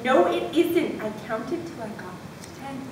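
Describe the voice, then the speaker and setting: Stubborn high-voiced schoolboy, acted by a woman, echoey room. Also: animated. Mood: pleading. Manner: protesting, counts aloud slowly. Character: stubborn, literal-minded schoolboy pleading for recess. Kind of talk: amateur readers' theatre performance. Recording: distant, very echoey room, noisy with rumble.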